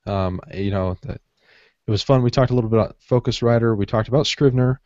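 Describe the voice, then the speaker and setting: A man's voice: medium pitch, brisk, darkish tone, dry recording, highs cut off. A man co-hosting a podcast in casual conversation. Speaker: podcast co-host and producer.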